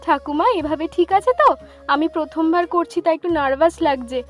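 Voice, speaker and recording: A woman's voice: very high-pitched, steady tone, normal speed, faint music behind. A woman voicing an eager, grateful young granddaughter in a cartoon story. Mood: eager, unsure. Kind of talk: cartoon story dialogue in Bengali.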